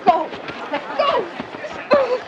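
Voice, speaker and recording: A woman's voice: very high-pitched, animated, drawn-out words, highs cut off, crowd noise, full character voice. An actress playing an earnest, desperate young woman who insists she is a mermaid, in an audio drama. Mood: frantic defiance.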